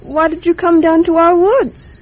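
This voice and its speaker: Little girl in a radio play, high slow voice, curious n earnest, muffled recording. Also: fairly even intonation, darkish tone. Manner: wide-eyed, earnest, childlike chatter. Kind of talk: radio drama acting. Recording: dull, noisy radio drama recording.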